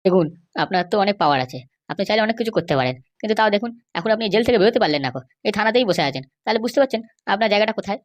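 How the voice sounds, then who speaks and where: Cartoon comedy part: chatty, crafty jail constable voiced by a woman, mid-range voice, extremely quick insistent patter. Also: mid-range voice, animated, close to an everyday voice. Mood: mocking, wheedling. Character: sly male cartoon schemer and bribe-seeking jail constable. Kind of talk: amateur cartoon comedy dubbing.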